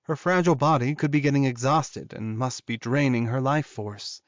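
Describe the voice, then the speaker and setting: A man voicing a caring, maternal female werewolf doctor in her mid-forties, explaining things in a measured audiobook style. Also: medium pitch, dry recording, highs cut off, voice pushed up, natural voice. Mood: concerned, thoughtful. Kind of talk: audiobook character dialogue.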